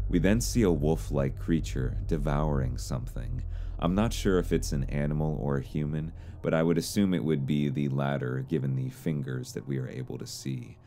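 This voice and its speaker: Male narrator, extremely low and mellow, measured explainer read over noticeable background noise. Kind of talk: scripted analytical narration.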